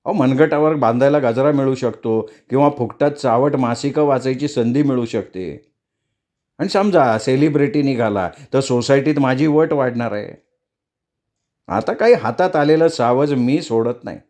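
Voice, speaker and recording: A man's voice: mid-range voice, normal speed, a little mellow, natural voice. Man playing a greedy, scheming freeloader neighbour. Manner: wheedling, muttering asides.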